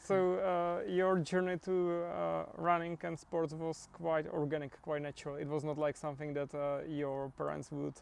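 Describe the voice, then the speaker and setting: A man interviews a guest in a gravelly, creaky voice. He feels his way into each question with restarts and pauses. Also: a little high, monotone, darkish tone. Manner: hesitant, many fillers and restarts. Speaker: podcast host.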